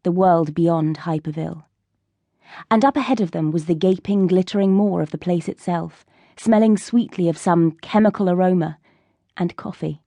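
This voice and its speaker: A woman's voice: lowish voice, normal speed, dark tone, dry recording. A woman reading scripted fiction as an audiobook narrator.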